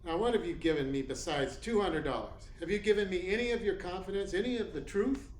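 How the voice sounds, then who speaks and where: A hard-boiled private eye acted by a man from a noir radio play, cool and sardonic, with blunt, casual wisecracks. His voice sits fairly high for a man. The recording is roomy and echoey, with faint noise underneath. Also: a little fast, natural voice. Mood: probing, cynical. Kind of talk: radio play acting in 1940s noir manner.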